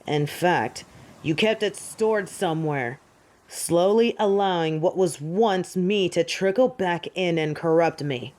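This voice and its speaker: A woman's voice: low-pitched, darkish tone. Woman acting a haughty, defiant fallen angel. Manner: haughty, theatrical, formal speech. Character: proud, defiant fallen female angel of judgement. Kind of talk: scripted audio drama acting.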